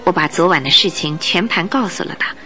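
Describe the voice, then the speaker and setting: A woman's voice: lowish voice, lively intonation, a little fast, bright, forceful tone, faint music behind. A woman playing a clever, wary and determined heroine in a Mandarin radio drama. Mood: wary, earnest. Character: clever adventurous woman, wary, determined, polite, newly married.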